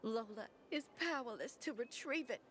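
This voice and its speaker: A woman's voice: fairly high pitch, animated, moderate pace, a little hiss or hum, lightly acted. A woman playing a vain theatrical diva. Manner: theatrical, refers to herself in third person. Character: flamboyant vain diva singer, dramatic. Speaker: singer. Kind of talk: video game dialogue.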